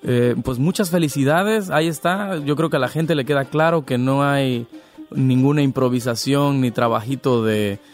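A man from Veracruz hosting a podcast interview, speaking casually in a friendly, congratulatory way. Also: medium pitch. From Veracruz, Mexico. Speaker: podcast host.

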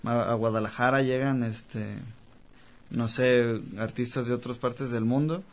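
A man's voice: mid-range voice, steady tone, measured pace. Chatty man, rambling. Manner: chatty, rambling, lots of fillers. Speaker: guest, cellist. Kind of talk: casual interview chat.

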